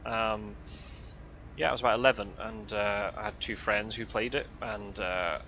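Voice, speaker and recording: A man's voice: lowish voice, expressive, moderate pace, vocal fry, sharp tone, dull audio, slight background noise. A man in his twenties with a mixed English and Scottish accent, chatting casually while he drives a truck and rambling through lots of fillers and restarts. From Scotland. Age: 20s. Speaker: vlogger. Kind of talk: casual chat while driving. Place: inside a truck cab.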